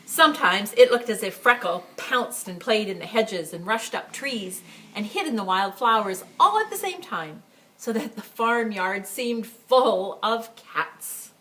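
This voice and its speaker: A woman reading a picture book aloud to children as a library storyteller, with expressive, emphatic phrasing and chatty asides. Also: mid-range voice, unhurried, slight background noise, low-quality recording. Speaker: story time reader for a school library.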